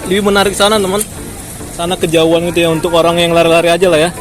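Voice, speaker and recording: A man's voice: on the high side, flat delivery, very fast. Chatty man with a Batak accent. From Jakarta. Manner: chatty, keeps addressing viewers as friends. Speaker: vlogger. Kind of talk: casual walking vlog. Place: busy city street outdoors. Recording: street crowd and music behind. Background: crowd and music.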